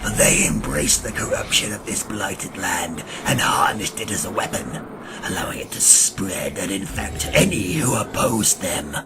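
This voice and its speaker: A man playing a grim, ancient vampire hunter who narrates a gothic audio drama in a solemn, ornate and gravelly manner. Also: sits low, expressive, full character voice. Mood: contemptuous, grave. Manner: solemn, ornate, deliberate delivery. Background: dramatic music.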